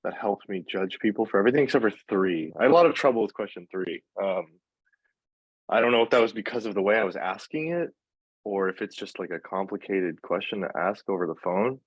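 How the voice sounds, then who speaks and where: Very deep-voiced man, casual, dull audio. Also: brisk, breathy edge, no room sound. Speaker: interviewer in training meeting. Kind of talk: casual meeting discussion. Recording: dull, dry lo-fi meeting audio.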